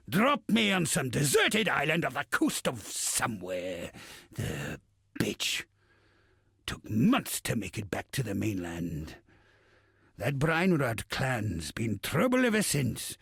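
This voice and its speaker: An actor playing a rowdy, roguish old pirate captain in a video game, with a raspy, gravelly voice, a stage pirate accent and salty, theatrical slang. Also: male voice, a little high, a bit bright, no room sound, studio quality, exaggerated voice. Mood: bitter, indignant. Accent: stage pirate English. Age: older. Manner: salty, theatrical, swaggering delivery with archaic slang. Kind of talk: video game character acting.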